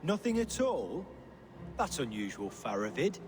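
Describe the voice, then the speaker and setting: Brief: a wry, evasive puppet king, slightly high voice, long reverb. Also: very animated, measured pace. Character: sly scheming middle-aged Saxon puppet king. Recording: game audio with long reverb.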